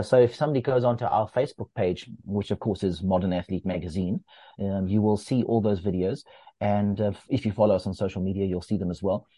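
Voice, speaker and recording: A man who edits a running magazine, talking as a guest in a relaxed, explanatory way with plenty of fillers. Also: slightly rough, no echo. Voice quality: bass voice. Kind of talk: casual interview talk.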